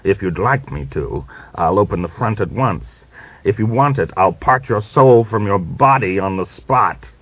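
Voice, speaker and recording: A man's voice: lowish voice, lively intonation, unhurried, slightly rough, no room sound. Man acting a headstrong, furious Cossack commander. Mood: cold fury. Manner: curt, challenging. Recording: dull lo-fi audiobook recording.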